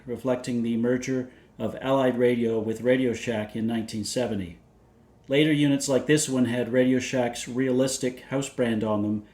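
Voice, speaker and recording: A man with a mid-pitched voice narrates a scripted technical overview. He sounds measured and matter-of-fact as he works through details like a presenter reading specifications. The sound is noticeably roomy, with clear echo around the voice. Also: a little mellow. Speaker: presenter of vintage radio videos. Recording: roomy, echoey indoor sound.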